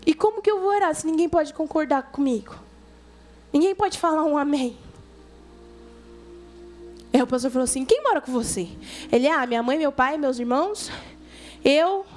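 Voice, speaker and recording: High-voiced Brazilian woman, informal youth preacher. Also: lively intonation, moderate pace, pauses often, a little mellow, faint music behind. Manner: colloquial, conversational, addresses listeners directly, acts out dialogue. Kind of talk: informal youth sermon with storytelling.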